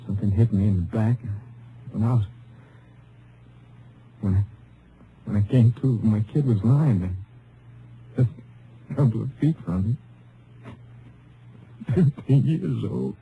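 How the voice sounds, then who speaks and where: Dark-voiced, weakened deputy. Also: male voice, fairly low pitch, vocal fry. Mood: anguished, grieving. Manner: halting, plain-spoken, folksy. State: weak, in pain. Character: gravely wounded Texas deputy sheriff, grieving father. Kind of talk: old-time radio drama acting. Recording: faintly noisy old radio recording.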